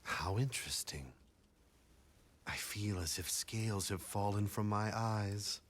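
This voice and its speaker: An actor playing a cold, arrogant male strategist in a video game cutscene, speaking in a haughty, measured way. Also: lowish voice. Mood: cold disdain. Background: game music score.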